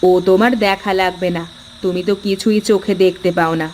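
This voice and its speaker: A woman acting as a sharp-tongued village wife in a cartoon. She is discontented and demanding, with a middling pitch for a woman. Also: steady tone, fairly quick, slight background noise, lightly acted. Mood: sulky, demanding.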